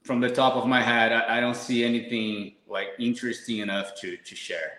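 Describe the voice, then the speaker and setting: A man's voice: mid-range voice, level tone, normal speed, bright, forceful tone, roomy sound, low-quality recording. A man from Brazil, a podcast guest, talking casually and modestly, often restarting his words. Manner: modest, hesitant, repeats words.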